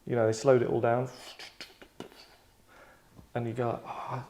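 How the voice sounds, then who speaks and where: Male host, mid-pitched voice, casual rambling delivery, digressive, tongue-in-cheek. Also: flat delivery, a little slow, mellow, a little hiss or hum. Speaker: host and writer running a table read.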